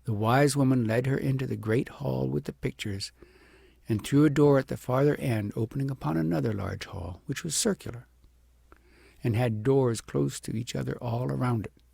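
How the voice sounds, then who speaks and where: A man narrating a storybook as an audiobook, reading in a gentle, expressive way. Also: medium pitch, normal speed, vocal fry, slightly rough, dry recording.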